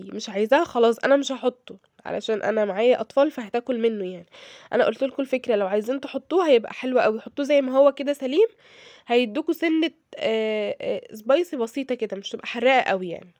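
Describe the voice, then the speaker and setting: Woman, Egyptian Arabic accent, hoarse from a cold, chatty cooking vlogger, quick talker, dry close sound. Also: fairly high pitch, darkish tone, dry recording. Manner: chatty, addresses viewers directly, frequent fillers and check-ins. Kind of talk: casual cooking tutorial.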